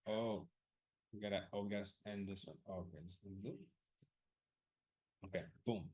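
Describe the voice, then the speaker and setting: A man's voice: fairly low pitch, fairly even intonation, normal speed, darkish tone. A man running a live audience poll about class times, casually thinking aloud, loose and profane, often repeating himself.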